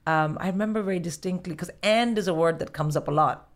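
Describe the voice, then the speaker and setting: A woman's voice: on the low side, quick pace. A woman from India, a podcast guest, telling candid stories in casual chat with frequent restarts.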